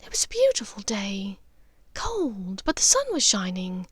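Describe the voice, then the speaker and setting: A woman voicing a gentle, wistful, troubled witch and mother in a story, speaking softly and with measure. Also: mid-range voice, wildly swooping pitch, unhurried, husky, no room sound, exaggerated voice.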